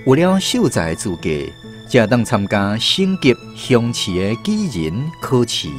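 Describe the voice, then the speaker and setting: A man's voice: mid-range voice, very animated, measured pace, faint music behind. A man narrating a radio drama in a scripted storytelling style.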